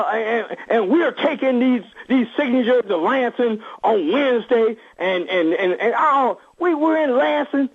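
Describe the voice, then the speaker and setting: A man's voice: very high voice, breathy edge. A man speaking as an activist reverend and radio call-in guest, impassioned and emphatic, repeating phrases for force over a phone line. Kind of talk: impassioned political interview talk.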